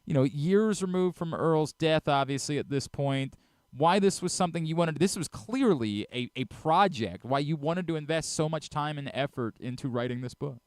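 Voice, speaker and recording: A man's voice: fairly high pitch, normal speed, smooth, no echo, studio quality. A man hosting a sports radio interview, casual and appreciative as he talks with his guest.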